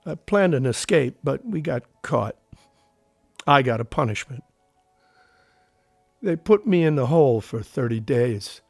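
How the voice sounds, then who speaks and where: A plainspoken war veteran, close and dry. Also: male voice, mid-range voice, expressive, unhurried, creaky, long pauses, no echo, faint music behind. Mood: troubled, confessional. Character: grown war veteran, curious, uneasy, haunted by captivity. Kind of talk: audio drama acting.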